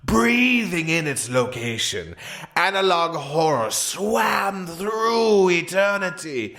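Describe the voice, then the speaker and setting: A man's voice: on the high side, fairly bright tone. A man narrating an absurd comedic tale as a storyteller, with a theatrical, exaggerated delivery.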